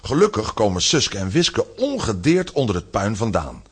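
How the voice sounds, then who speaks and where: A man with a lowish, husky voice tells a children's adventure story. His scripted narration swings dramatically up and down in pitch. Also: huge pitch swings, normal speed. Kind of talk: animated scripted narration of a children's radio play.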